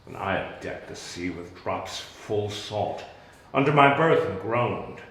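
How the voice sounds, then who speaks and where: Man acting a wronged exiled duke, bitter, commanding, Shakespearean stage delivery, very slow, echoey room. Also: fairly low pitch, animated, fairly bright tone, full character voice. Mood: solemn, tender. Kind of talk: Shakespearean dramatic reading.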